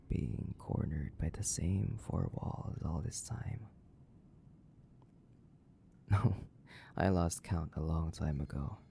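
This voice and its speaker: A man playing a centuries-old, sadistic vampire in a theatrical horror audio roleplay, condescending and drawling, with a gravelly, breathy voice that slips into whispers. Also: low-pitched, a little mellow, a little hiss or hum, heavily acted. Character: sadistic, centuries-old imprisoned male vampire, mocking and bored. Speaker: voice actor.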